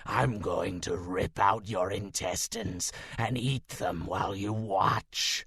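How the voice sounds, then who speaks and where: A man voicing a rabid, menacing werewolf monster in a raspy growl for an audiobook. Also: on the low side, slow, fairly bright tone, dry recording, voice pushed down, full character voice.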